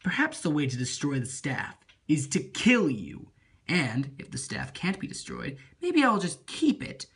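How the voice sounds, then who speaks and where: A man's voice: on the high side, huge pitch swings, a little slow, vocal fry, fairly bright tone, breathy edge, exaggerated voice. Man playing an arrogant, grandiose comic-book emperor villain. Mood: gloating. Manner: grandiose, menacing, declamatory. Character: arrogant, cruel male supreme emperor villain.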